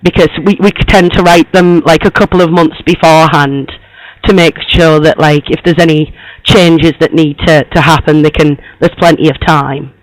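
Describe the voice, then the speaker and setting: A man putting on the voice of a young woman magazine journalist, hoarse, rambling with lots of fillers on a prank call. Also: on the high side, steady tone, normal speed, no room sound, natural voice, rough recording. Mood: friendly, chatty. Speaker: prank caller posing as interviewer.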